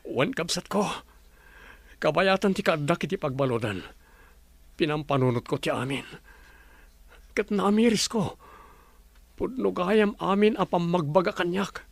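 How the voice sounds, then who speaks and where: A man's voice: high voice, a little slow, gravelly, pauses often, dry recording, exaggerated voice. A man playing a contrite ex-prisoner. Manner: earnest, contrite. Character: remorseful man just out of prison. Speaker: repentant brother character. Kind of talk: radio drama acting.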